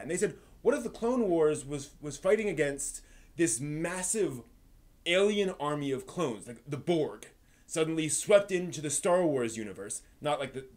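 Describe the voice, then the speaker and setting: Casting: male live stream host, slightly high voice, conversational and enthusiastic storyteller. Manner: animated storyteller, emphatic, conversational. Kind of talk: casual enthusiastic monologue to stream viewers.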